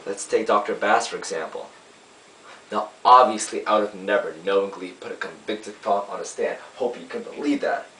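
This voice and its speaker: A man playing a young, earnest defense lawyer, pleading to a jury in an emphatic, oratorical monologue with deliberate pauses. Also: on the low side, pushed and bright. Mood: impassioned. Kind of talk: dramatic courtroom monologue. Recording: roomy, distant mic, faint hiss.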